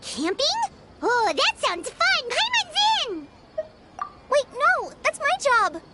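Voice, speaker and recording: A woman voicing a tiny, excitable, childlike fairy companion in a video game, squeaky, bubbly and exclamatory. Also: slow pace, heavy background noise, exaggerated voice. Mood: excited.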